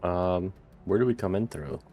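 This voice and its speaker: Male game player, low voice, hesitant casual table talk, faint music in the background. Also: steady tone, moderate pace, mellow.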